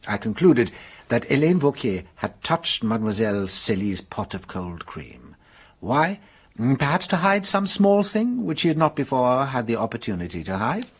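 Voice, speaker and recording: A shrewd detective. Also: male voice, mid-range voice, very animated, husky. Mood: explaining. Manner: measured, explaining, amused. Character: shrewd French police detective, Inspector Hanaud. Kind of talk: radio drama acting.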